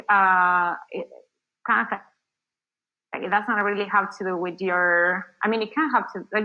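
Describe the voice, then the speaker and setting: A woman from Argentina talks through her answers in a loose, rambling way, full of fillers and restarts. English is not her first language. Her voice sits at a middle pitch, and it comes over a muffled, lo-fi video call. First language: Spanish. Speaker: marketing agency founder. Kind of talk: casual interview answers.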